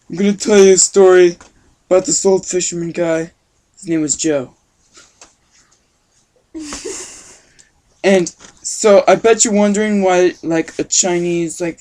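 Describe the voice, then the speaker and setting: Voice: mid-range voice, mellow, lightly acted. An amateur performer voicing an old grandpa who tells a tale in a rambling, folksy way with youthful slangy phrasing. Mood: jovial, rambling. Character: totally wicked awesome old grandpa telling a tale. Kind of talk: rambling improvised storytelling.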